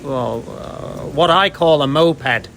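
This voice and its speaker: A man's voice: a little high, takes it slowly, gravelly, crowd noise. A middle-aged British man giving thoughtful, explanatory answers in a conversational interview. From United Kingdom.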